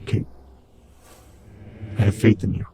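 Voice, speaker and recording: An actor playing a mysterious male entity in an audio drama, stern but caring, speaking with earnest, commanding emphasis and a gravelly voice. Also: slow pace, mellow, a little hiss or hum, close to an everyday voice. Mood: quietly earnest. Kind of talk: audio fiction drama acting.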